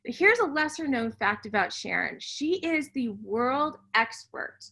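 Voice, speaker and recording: Woman, podcast host, playful, teasing, casual talk, bright voice. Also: on the high side, lively intonation, a little slow, gravelly. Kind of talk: casual interview conversation.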